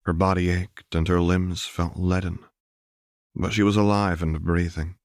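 A man reading fiction aloud as an audiobook narrator, in a measured, subdued way into a close mic. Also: low voice, little pitch movement, a little mellow, no room sound.

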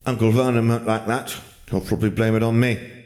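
A man's voice: medium pitch, level tone, fairly quick, a little mellow, pitched above the performer's own voice, lightly acted. A man voicing a smug, amused wizard companion in a storybook, observing with detached wryness.

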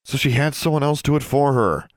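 A man's voice: medium pitch, brisk, no room sound, clean studio sound, voice pushed up, natural voice. A man voicing a defiant, hot-tempered schoolgirl in an audiobook, snappy and confrontational. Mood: pressing.

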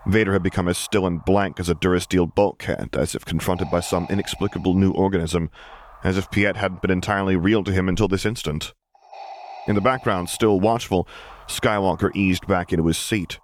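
Male narrator, low pitch, expressive scripted storytelling, faint background noise. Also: brisk. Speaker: audiobook narrator.

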